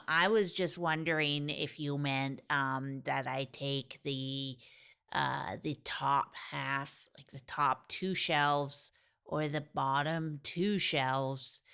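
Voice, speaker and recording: Woman doing shy, stammering guy roommate in an audio comedy, low, slow, clear voice. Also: fairly even intonation, bright, forceful tone, heavily acted. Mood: hesitant. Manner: hesitant, apologetic, full of ums.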